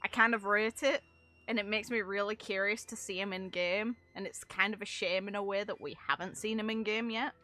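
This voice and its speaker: A woman's voice: mid-range voice, steady tone, brisk, pushed and bright, soft background music. A woman giving casual, chatty reaction commentary on video game dialogue, with informal slang and mild swearing. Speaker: streamer reacting to game voice lines.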